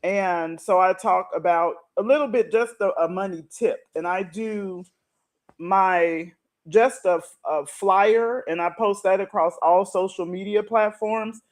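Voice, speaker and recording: A woman's voice: medium pitch, measured pace. A middle-aged woman speaks as a guest coach in a casual, explanatory chat. She talks in a conversational way, laying things out point by point. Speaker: guest, retirement and money coach who writes articles.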